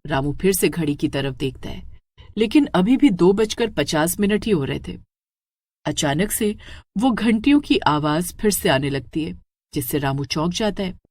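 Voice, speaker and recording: A woman's voice: on the low side, a little mellow, no room sound. A woman narrates a scripted horror story in a dramatic, suspenseful way.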